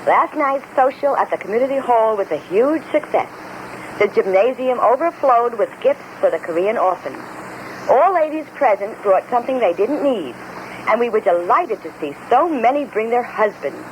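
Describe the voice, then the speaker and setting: A woman's voice: a little high, very animated, normal speed, slight background noise, low-quality recording. A woman presenting a local radio program in old-fashioned women's show style on a vintage recording.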